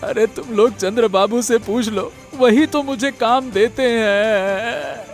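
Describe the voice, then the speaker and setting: A man's voice: very high voice, slow pace, soft background music, heavily acted. A man voicing a poor, honest village labourer and devoted father in a cartoon, earnest and repetitive in his speech. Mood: frightened pleading. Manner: stammering, pleading, repeats words. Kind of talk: Hindi moral-story cartoon voice acting.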